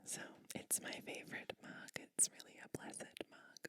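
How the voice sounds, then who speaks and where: A woman playing a gentle, caring witch in an ASMR bedtime roleplay, soothing and deliberate in her manner. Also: lightly acted. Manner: soft, soothing, slow and deliberate. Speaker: ASMR performer. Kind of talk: whispered ASMR personal attention roleplay.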